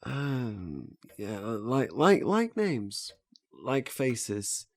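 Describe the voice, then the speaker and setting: A man's voice: mid-range voice, sing-song, very slow, darkish tone, dry recording, exaggerated voice. A man playing an earnest, flustered learner in a naturalistic audio drama, hesitant and stammering as he restarts his phrases. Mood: hesitant, eager. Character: earnest, flustered male learner eager to master memory techniques.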